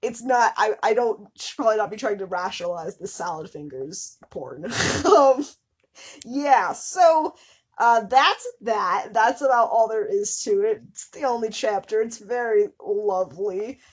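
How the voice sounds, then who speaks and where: A woman's voice: mid-range voice, a little slow, slightly rough. A woman hosting a comedy show, reading fan fiction dramatically with incredulous, giggly asides. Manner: breaks into giggles and incredulous commentary.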